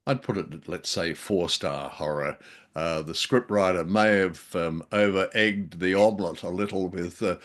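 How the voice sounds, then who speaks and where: A middle-aged man with a fairly low voice co-hosts a relaxed review discussion. He talks conversationally, with a jocular streak and dry humour. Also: moderate pace. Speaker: podcast co-host. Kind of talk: casual review chat.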